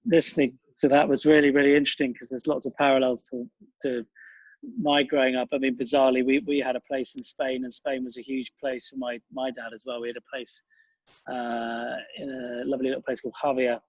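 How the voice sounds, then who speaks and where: A man's voice: medium pitch, level tone, brisk, breathy edge, dry recording, muffled recording. A middle-aged man, reflecting casually in an interview in a rambling, thoughtful, self-deprecating way. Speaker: interview guest, writer, director and actor.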